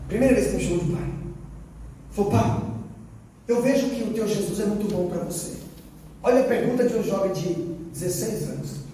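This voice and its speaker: A Brazilian missionary man tells his story from the pulpit, acting out each exchange and repeating lines for weight. His voice sits high but mellow. He is caught from across a reverberant church hall with a faint hum of ambience. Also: distant mic. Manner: storytelling, quoting dialogue, repeating phrases for emphasis. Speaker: missionary preacher. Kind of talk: sermon testimony.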